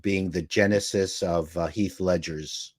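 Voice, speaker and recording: A man's voice: sits low, steady tone, a little slow, slight background noise. A man chatting casually as a panelist in a film discussion.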